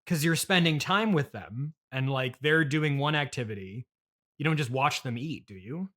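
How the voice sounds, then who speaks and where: An American man hosting a casual improvised comedy interview as a halting, politely baffled straight man. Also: on the high side, expressive, a bit bright. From United States, Illinois. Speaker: podcast host and interviewer.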